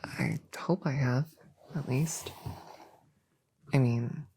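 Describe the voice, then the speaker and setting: A woman plays a young novice witch in an intimate ASMR roleplay, murmuring low and unhurried. Her soft, breathy voice has a crackle of vocal fry and often sinks to a whisper. She muses casually to a friend, eager and a bit grumpy, close on the mic. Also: exaggerated voice. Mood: grumpy, wistful. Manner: casual, hesitant, musing aloud to a friend. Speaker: audio roleplay performer. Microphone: close microphone in a dry space.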